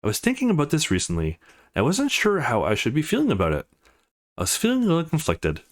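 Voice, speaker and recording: Man reading as a curious young woman, lively pitch. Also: fast. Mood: thoughtful. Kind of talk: reading visual novel dialogue.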